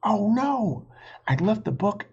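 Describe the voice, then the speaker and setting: A man's voice: high-pitched, very animated, slow pace, darkish tone, husky, lo-fi sound, voice pushed up, heavily acted. A man reading a children's adventure story, voicing an anxious, earnest young boy. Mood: worried.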